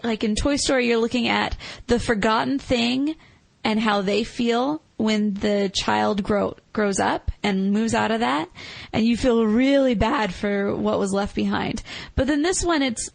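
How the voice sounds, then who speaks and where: Female co-host, fairly high voice with lots of fry, dry close sound, reflective chat. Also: measured pace, no room sound, poor audio. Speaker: podcast co-host. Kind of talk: casual, reflective film discussion.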